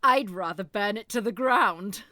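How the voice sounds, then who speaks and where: An actress playing a suspicious, outspoken elderly care-home resident in an audio comedy, with an emphatic, sceptical delivery. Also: female voice, mid-range voice, measured pace, pushed and bright, no echo. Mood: defiant, wary. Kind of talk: audio comedy drama acting.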